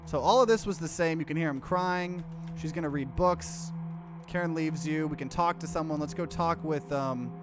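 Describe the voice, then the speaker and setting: Higher-pitched man narrating a game playthrough. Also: normal speed, music in the background. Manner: switches between reading aloud and offhand commentary. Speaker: streamer, let's player. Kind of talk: let's play reading visual novel narration aloud with casual asides.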